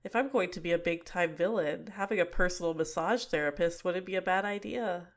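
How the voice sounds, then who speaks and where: A woman's voice: on the low side, fairly quick, close to an everyday voice. A woman voicing a smug, teasing young villainess. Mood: relaxed, pleased. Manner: soft-spoken, teasing, laughs often. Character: cute, shy-seeming young female villain, manipulative and smug. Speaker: ASMR roleplay performer. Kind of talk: ASMR roleplay.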